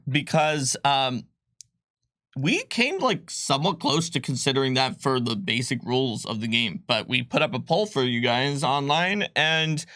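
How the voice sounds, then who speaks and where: A man's voice: mid-range voice, animated, unhurried, sharp tone, no room sound, clean studio sound. A man co-hosting a casual livestream, explaining game rules with many fillers and restarts. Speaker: game designer co-host. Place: studio.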